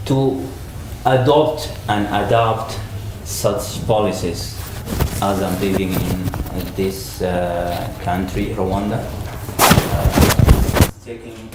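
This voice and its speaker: A man's voice: deep voice, drawn-out words. Teacher, man, earnest, repeats himself; distant and echoey. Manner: earnest, repeats his points. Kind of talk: panel discussion answer. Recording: echoey room, mic far off, noisy.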